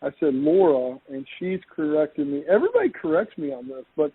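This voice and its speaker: A man's voice: fairly high pitch, big pitch swings, fairly quick, a crowd in the background. A man with a West Texas accent hosting a podcast, chatting in a jokey, self-deprecating way. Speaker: podcast host. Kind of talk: casual video-call chat. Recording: phone-like call audio.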